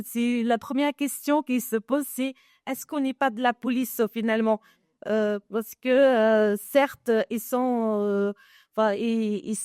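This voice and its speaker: A woman's voice: fairly high pitch, a little mellow, clean tone, dry recording, studio quality. A woman educator speaking at a panel discussion, hesitant, with many fillers and restarts. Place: conference room.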